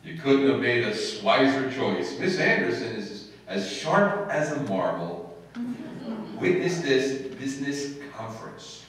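A man's voice: medium pitch, animated, unhurried, a bit bright. A man playing a long-suffering, sarcastic husband who narrates an old-time radio comedy on stage. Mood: dry narrating. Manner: dry, sarcastic. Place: live stage in an indoor hall, distant camera. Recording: echoey noisy hall, far from microphone.

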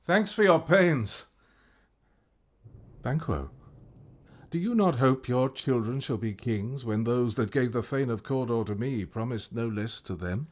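A man's voice: medium pitch, measured pace, lightly acted. A man playing an ambitious, scheming warrior thane. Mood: intent, guarded. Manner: formal, declamatory. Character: ambitious Scottish warrior thane, outwardly loyal, secretly scheming. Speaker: actor in an amateur radio drama. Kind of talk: Shakespearean radio drama acting.

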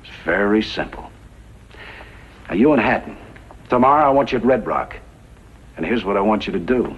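A man's voice: on the low side, lively intonation, normal speed, a little hiss or hum, close to an everyday voice. A man acting a bossy, scheming gunrunner gang leader in a 1950s radio western, commanding and calculating. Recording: muffled vintage radio recording.

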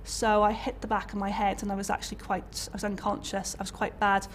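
A woman's voice: mid-range voice, little pitch movement, brisk, a little hiss or hum. A young woman with a Welsh accent, a college lecturer, talking candidly about her career in an interview, chatty with many fillers and restarts. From Wales. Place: indoor college room.

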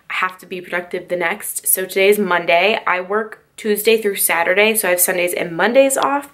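A woman's voice: lowish voice, fairly bright tone. Chatty young woman with a stuffy nose. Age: 20s. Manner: chatty, rambling, lots of "like" fillers. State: nasal from a cold. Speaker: vlogger. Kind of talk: casual vlog chat to camera. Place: small bedroom, indoors. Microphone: phone or camera at arm's length.

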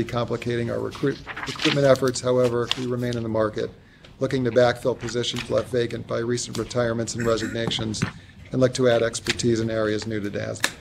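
An American man giving a formal, businesslike status report to a board, reading from notes a little haltingly. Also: medium pitch. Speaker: construction executive reporting to a board. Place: large conference room with long table. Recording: clear background noise and clicks.

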